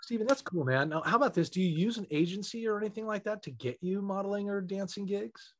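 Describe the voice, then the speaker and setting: A man's voice: high-pitched, quick pace, fairly bright tone. A man hosting an interview, upbeat and encouraging, who often says "you know what I mean". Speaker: interview host. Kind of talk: casual interview.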